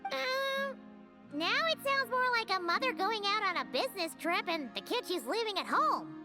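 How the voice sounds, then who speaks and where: A woman voicing a tiny, childlike and excitable female fairy companion in a video game, squeaky and exclamatory. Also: normal speed, music in the background. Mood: incredulous, curious. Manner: speaks of self in third person, exclamatory. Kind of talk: video game character acting.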